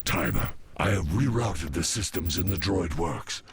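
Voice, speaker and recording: Extremely deep, gravelly alien adviser. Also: male voice, animated, a loud crowd. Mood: focused. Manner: measured, formal, precise. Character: grave, cautious male alien adviser and technical aide. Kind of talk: cinematic video game acting.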